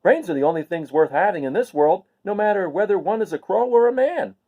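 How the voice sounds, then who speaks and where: A man's voice: sits high, expressive, a little fast, phone-quality sound, voice pushed up. A man voicing a shrewd, knowing old crow who lectures in a storybook reading. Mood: consoling. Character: old crow, shrewd, scornful yet kindly adviser.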